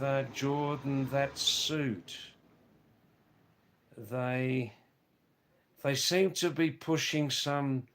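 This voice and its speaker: A hoarse man live-streaming from a hill village, reading out viewers' comments and greeting them by name in a halting way with many fillers. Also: mid-range voice, slight background noise.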